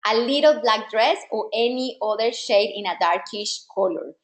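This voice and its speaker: A woman's voice: a little high, moderate pace, reverberant. A woman style coach whose first language is not English, giving an encouraging, direct masterclass to women. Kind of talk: live online masterclass.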